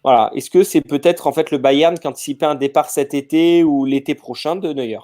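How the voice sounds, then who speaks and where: A man's voice: a little high, brisk, mellow. A man talking casually on a podcast, thinking aloud with lots of fillers and restarts. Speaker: podcast panelist.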